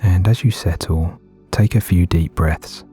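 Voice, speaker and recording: A man gently guiding a meditation in a soothing, unhurried way with long pauses between phrases. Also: sits low. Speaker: meditation guide. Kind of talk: guided meditation narration. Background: background music.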